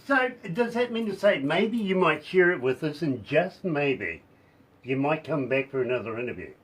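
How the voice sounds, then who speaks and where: A man with a husky, somewhat hoarse voice hosts a radio interview, pitched a touch high. He gushes and chats warmly with his guest, laughing between lines. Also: animated, normal speed. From New Zealand. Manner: effusive, chatty, laughs often. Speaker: radio host.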